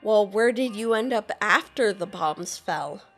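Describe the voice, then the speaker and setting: A woman's voice: mid-range voice, lively intonation, unhurried, faint music behind. A woman voicing an earnest young wanderer who asks a lot of questions, in a game dialogue. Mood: curious. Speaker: player character. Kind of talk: fan-voiced game dialogue.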